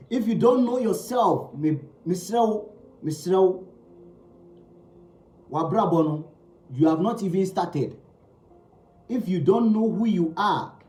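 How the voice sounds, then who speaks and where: Male spiritual teacher, animated pitch, emphatic and repetitive, roomy echo. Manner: emphatic, repeats key phrases, asks rhetorical questions. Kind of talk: slow spiritual teaching talk.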